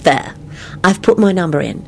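A woman's voice: lowish voice, lively intonation, moderate pace, vocal fry, slight background noise, rough recording. A woman voicing a teasing, amused, patient woman in a storybook, explaining things as she goes. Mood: amused, instructive. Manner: teasing, instructive.

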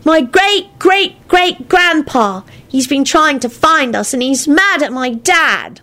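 A sulky young boy from a storybook, acted out by a woman, pitched very high. He whines and wails in loud, drawn-out, swooping complaints. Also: expressive, exaggerated voice. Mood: wailing, indignant. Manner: drawn-out, whining delivery.

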